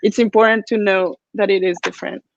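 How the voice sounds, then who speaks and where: A woman's voice: medium pitch, steady tone, brisk, no room sound, lo-fi sound. Reflective woman from Colombia, dry sound. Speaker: guest artist. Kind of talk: casual video-call interview.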